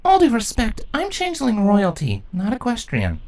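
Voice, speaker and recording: Man playing an anxious young pony princess, very high-pitched. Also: huge pitch swings, normal speed, a little mellow. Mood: determined. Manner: earnest, urgent.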